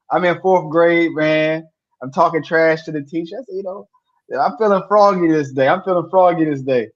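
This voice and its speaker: A man co-hosting a sports podcast, talking casually and telling stories with animated repeats when excited. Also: a little high, lo-fi sound.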